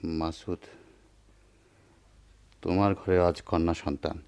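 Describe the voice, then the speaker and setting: A man playing an earnest, conscience-stricken village doctor in a radio drama, with measured, educated diction and a Bangladeshi accent. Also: sits low, level tone, creaky, darkish tone, clear, resonant tone, exaggerated voice. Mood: bitter, anguished. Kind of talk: Bengali radio drama acting.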